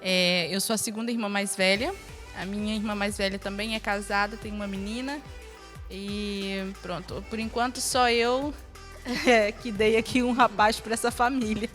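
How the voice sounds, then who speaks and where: A woman's voice: mid-range voice, steady tone, normal speed, a bit bright, faint music behind. A Brazilian-accented woman in her early thirties, an interview guest telling personal stories casually. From northern Brazil. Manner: chuckles often while talking.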